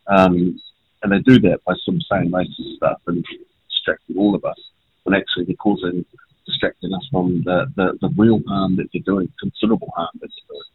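A deep, gravelly man with a New Zealand accent calls in from a train. His husky voice swings widely in pitch as he argues his point with passion. It all comes down a tinny phone line. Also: expressive, brisk, no echo. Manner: impassioned, pointed. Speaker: politician guest. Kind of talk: phone-in interview.

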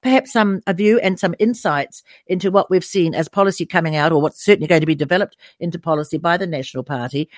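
A woman's voice: fairly low pitch, no room sound, clean studio sound. Hesitant New woman radio host. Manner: hesitant, many fillers and restarts. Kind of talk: radio interview. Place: radio studio. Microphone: studio mic.

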